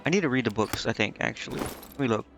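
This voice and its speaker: A man gives casual live gameplay commentary in a mid-pitched voice, with music playing faintly behind him. He thinks aloud as if half to himself, offhand and often second-guessing his choices. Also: lively intonation, fast talker. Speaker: game streamer.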